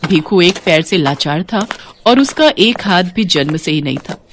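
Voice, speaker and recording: A woman narrating a scripted children's story in an expressive storytelling style. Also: lowish voice, moderate pace, slight background noise.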